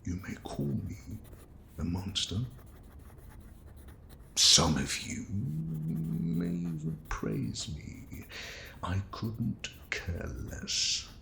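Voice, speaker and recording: An actor playing a cold, fanatical scientist villain who speaks with menacing deliberation and a gravelly creak in an audio drama. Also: male voice, medium pitch, sing-song, drawn-out words, pauses often, sharp tone, slight background noise, full character voice. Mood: bitter, grandiose. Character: cold fanatical male scientist villain bent on culling humanity. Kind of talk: audio drama monologue and dialogue.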